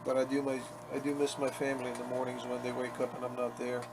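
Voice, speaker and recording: Leatherworker chatting while working, wry and self-mocking, ordinary mid voice, clear shop noise behind. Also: male voice, mid-range voice, completely flat delivery, fast. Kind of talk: casual workshop narration. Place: workshop.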